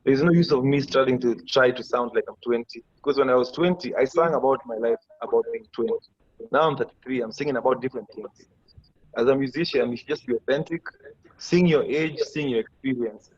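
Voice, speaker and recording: Husky Kenyan man in his thirties. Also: mid-range voice. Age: 30s. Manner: reflective, measured explanations. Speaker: musician guest. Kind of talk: video call interview. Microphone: video call. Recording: dull lo-fi video call audio.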